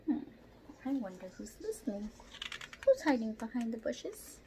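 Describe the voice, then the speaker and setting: Young woman doing storytime for kids at home, highish super expressive voice, bit of room noise. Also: normal speed. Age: young adult. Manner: expressive, asks child listeners questions. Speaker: storyteller for children. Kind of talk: expressive picture-book read-aloud. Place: small room at home, indoors.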